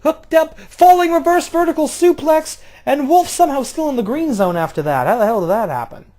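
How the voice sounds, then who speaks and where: A man doing casual play-by-play of a video game wrestling match, jumping between loud outbursts and low, offhand, swearing asides. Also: expressive, a little fast. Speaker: play-by-play commentator.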